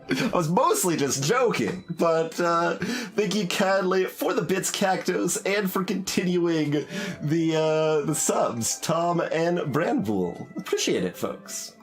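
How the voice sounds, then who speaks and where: Male streamer, fairly high and expressive, loose joking delivery with halting, rambling phrasing. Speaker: live streamer. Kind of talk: casual game stream chat. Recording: music behind the voice. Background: background music.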